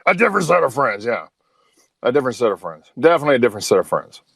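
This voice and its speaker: Animated man in his fifties, close dry sound. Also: medium pitch, racing, creaky, husky, dry recording. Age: 50s. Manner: rambling, repetitive, profane. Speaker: guest on a call-in show. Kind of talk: casual, candid chat.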